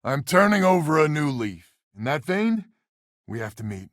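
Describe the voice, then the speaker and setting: A man's voice: on the high side, big pitch swings, normal speed, no echo, studio quality. An actor playing a wry, weary male space colonist who heads a settler family in a video game, with a folksy, sardonic manner. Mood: earnest.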